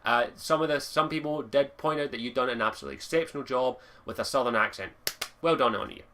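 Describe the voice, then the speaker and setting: A young man from Scotland with a Lowland Scottish accent, reviewing a video game in a casual, enthusiastic monologue full of swearing and restarts. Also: fast, pushed and bright, slight background noise.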